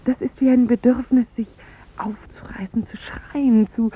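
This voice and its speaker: Tender, husky young woman in love. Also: fairly high pitch, steady tone, a little slow. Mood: plaintive, resigned. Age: young adult. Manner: theatrical, intense, breathy hushed phrase endings. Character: charming young woman, tender, confessional, melancholy lover. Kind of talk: 1950s German radio drama acting. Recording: thin, phone-like 1950s radio recording.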